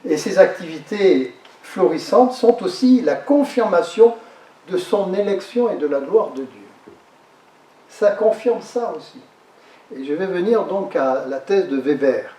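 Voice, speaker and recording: A man giving a lecture to an audience, pausing and repeating words as he explains. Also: sits high, animated, dark tone. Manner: halting, repeats words and restarts while explaining. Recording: echoey hall, speaker far from the mic.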